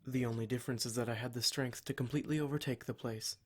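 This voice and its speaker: A man's voice: mid-range voice, fairly even intonation, fast, lightly acted. A man playing a cruel, smug demon in a scripted ASMR roleplay, speaking in a formal, mock-polite and menacing way. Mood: haughty, explanatory. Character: cruel, smug male demon, taunting captor of adventurers.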